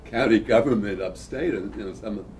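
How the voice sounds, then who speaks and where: A man asking a question from the audience in a halting, hedging way, recorded in a noisy room. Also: on the low side, a little mellow. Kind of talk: spoken question from the floor. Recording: muffled, noisy room with clinking dishes.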